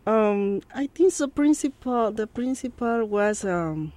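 A woman from Peru in her thirties with a Peruvian Spanish accent, a guest in an interview, speaking halting English with many fillers. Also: on the high side, expressive, darkish tone, no echo. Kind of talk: interview conversation.

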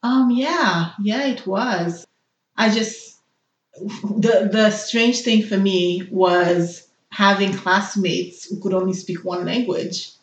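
Reflective woman with many pauses, roomy sound. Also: mid-range voice, highs cut off. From Haiti. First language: Haitian Creole. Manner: thoughtful, hesitant, with many fillers. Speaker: interview guest, nurse. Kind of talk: personal interview.

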